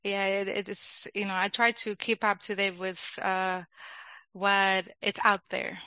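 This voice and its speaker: Guest read: young Dominican-accented woman, bright forward tone, dry close sound, talkative and quick to laugh. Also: mid-range voice, level tone, no echo, lo-fi sound. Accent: Dominican Spanish. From Dominican Republic. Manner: laughs often, talkative, restarts phrases. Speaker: guest, product manager. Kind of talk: casual interview chat.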